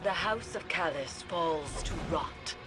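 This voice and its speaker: A grave occult lady from a video game, really slow and solemn, ominous, big music behind her. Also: on the low side, expressive. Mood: grave, foreboding. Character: grave, brooding woman, occult expert and field agent. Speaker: lunar field agent and mission guide. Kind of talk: dramatic scripted game narration. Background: loud dramatic music.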